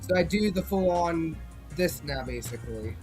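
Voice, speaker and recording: Rambling young man, higher voice. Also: expressive, moderate pace, a little mellow, faint music behind. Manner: rambling, frequent restarts. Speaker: podcast co-host. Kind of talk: casual chat.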